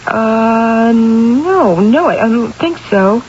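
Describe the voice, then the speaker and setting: An actress playing a warm, eager middle-aged American mother in a 1970s radio drama, speaking expressively and coyly, often repeating words. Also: on the high side, lively intonation, takes it slowly. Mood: nostalgic, playful. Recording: dull, hissy old radio recording.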